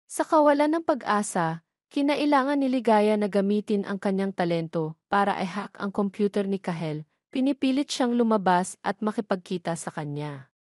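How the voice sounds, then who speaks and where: A Filipino woman narrates a scripted story in a mid-pitched voice. She reads phrase by phrase in a measured manner. The sound is dry and close, with no room around it. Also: darkish tone, no echo.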